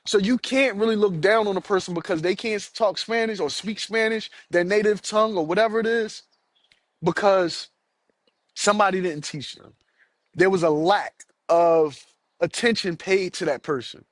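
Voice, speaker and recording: A man's voice: high voice, normal speed, dry recording. A man hosting a solo podcast, ranting angrily and repeating himself as he swears. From United States. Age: adult, has children. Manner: angry, profane, repeats himself. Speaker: podcast host.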